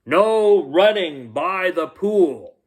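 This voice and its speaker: A man voicing a stern storybook lifeguard who loudly calls out pool rules in drawn-out commands. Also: high voice, lively intonation, voice pushed up, exaggerated voice.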